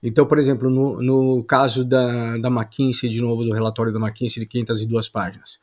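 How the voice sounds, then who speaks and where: A man's voice: medium pitch, level tone, a little fast, darkish tone. Man teaching a course. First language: Portuguese. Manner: explanatory, frequent "é" fillers and restarts. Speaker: course instructor. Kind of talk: online course lecture.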